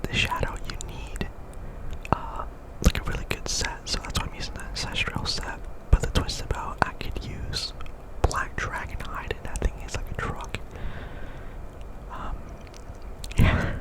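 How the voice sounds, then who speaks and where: A man giving ASMR game commentary in a breathy, unvoiced whisper, often softly repeating words and phrases. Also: measured pace, poor audio. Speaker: gaming video host.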